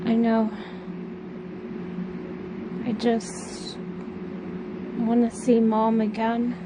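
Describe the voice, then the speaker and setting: A woman's voice: a little high, monotone, very slow. A woman playing an anxious, grieving young daughter in an amateur audio drama, speaking in a hesitant, subdued way. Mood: sad. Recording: noisy hobby recording.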